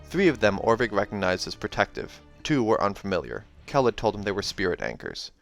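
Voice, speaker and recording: A man's voice: fairly low pitch, very animated, a little fast, gravelly. A man reading a fantasy novel aloud as dramatic scripted narration. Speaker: audiobook reader. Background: background music.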